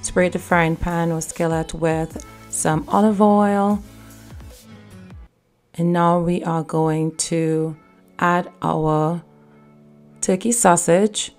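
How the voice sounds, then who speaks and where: A woman hosting a cooking video, giving calm step-by-step recipe instructions in voice-over. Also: lowish voice, drawn-out words, long pauses, faint music behind. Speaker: cooking channel host.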